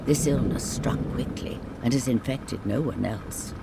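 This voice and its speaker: A storyteller voicing a grave, authoritative nobleman in a fairy tale, with formal, measured speech. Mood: quiet, suspicious. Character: Lord Grath, grave commanding adult nobleman, the dead Emperor's brother. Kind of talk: bedtime fairy tale character reading. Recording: clear background rain and rumble noise.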